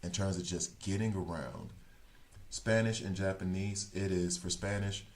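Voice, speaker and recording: Low-voiced rambling male streamer. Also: normal speed. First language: English. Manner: rambling, restarts sentences and corrects himself; talks things through as he thinks. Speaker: gaming streamer. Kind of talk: casual stream chat.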